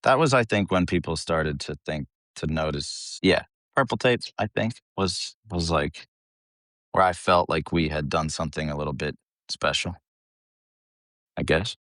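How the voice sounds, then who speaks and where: Low-pitched male, American origin, informal rambling interview chat, self-deprecating, dry close recording. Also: unhurried, a bit bright, no room sound. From United States. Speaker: metal band vocalist, interview guest.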